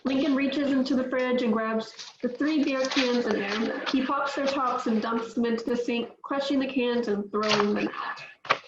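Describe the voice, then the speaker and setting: A woman's voice: on the high side, normal speed. Echoey dull recording, woman, scripted screenplay narration, stage directions, faint noise.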